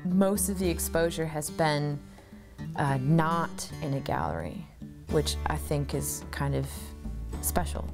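A woman's voice: sits low, lively intonation, slow pace, gravelly, over music. A woman in her thirties, an artist, talking thoughtfully about her work in an interview, recorded on a lapel mic in her cluttered home studio. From United States.

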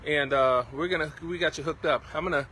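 A middle-aged man hosting a casual livestream as a farm stand vendor, with an enthusiastic, repetitive sales pitch. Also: steady tone, fairly quick, sharp tone. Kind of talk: casual promotional livestream chat. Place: outdoors at a tented farm stand by a street. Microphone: handheld phone, around arm's length. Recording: noisy outdoor street-side phone recording.

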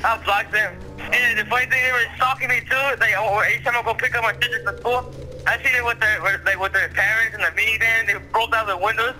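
High-pitched young man, slangy and rambling. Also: steady tone, fast talker, pushed and bright, slight background noise, poor audio. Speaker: storyteller in a gameplay video with friends. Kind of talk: casual storytelling chat.

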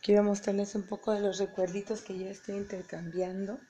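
A woman in her thirties from Peru, chatting casually and softly to her phone, tired, with her voice going. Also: medium pitch, little pitch movement, fairly quick, a little hiss or hum. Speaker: pilgrim. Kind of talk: casual vlog. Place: hotel room, indoors. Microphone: phone camera at arm's length.

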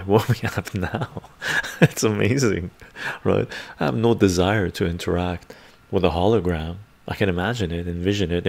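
A middle-aged man with a Canadian accent hosting a livestream, chatting casually in ASMR style in an unhurried, rambling way with frequent "right?" tags. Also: sits low, gravelly, breathy edge. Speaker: livestream host. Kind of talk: casual ASMR chat, answering viewers. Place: small book-lined room at home.

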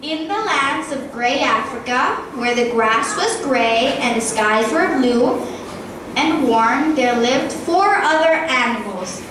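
A woman's voice: high voice, animated, slow. A girl of about ten or eleven reading scripted storytelling narration as the narrator of a school play. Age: child, about ten or eleven. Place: theatre hall stage, filmed from audience. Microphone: far camera. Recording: echoey school hall, distant, very noisy.

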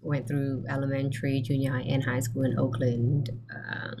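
A woman's voice: rumbling low, level tone, moderate pace, creaky, mellow, a little hiss or hum. A woman school counselor born in Vietnam, introducing a session on a video call with frequent ums and restarts. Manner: hesitant, many ums and restarts. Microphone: webcam on video call.

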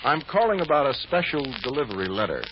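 An actor playing a shrewd fugitive lawyer in an old radio drama, giving measured, deliberate instructions with small hesitant asides. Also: male voice, on the high side, very animated. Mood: calm, guarded. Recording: dull, noisy old radio recording.

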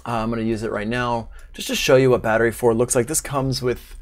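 Casual, gravelly-voiced man teaching. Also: mid-range voice, fairly even intonation, fast. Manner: informal, unscripted, thinking aloud. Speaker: online music production instructor. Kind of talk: casual software tutorial. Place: small room at home, indoors, livestream.

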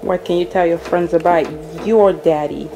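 A woman's voice: fairly low pitch, steady tone, moderate pace, music in the background. A woman chatting casually with a young child as a parent behind the camera, in a home video. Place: small bedroom indoors. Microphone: camera microphone, speaker off camera.

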